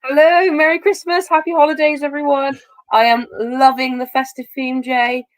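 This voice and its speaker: A British woman co-hosting a livestream while full of a cold, playful and excitable in casual chat. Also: high-pitched, moderate pace, no room sound. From United Kingdom. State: has a cold. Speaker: co-host.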